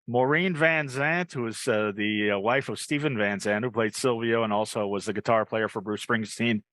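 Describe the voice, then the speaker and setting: A man's voice: lowish voice, moderate pace, a bit bright, no room sound. A man hosting a podcast with casual banter, musing aloud and tossing in joking asides. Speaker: podcast host.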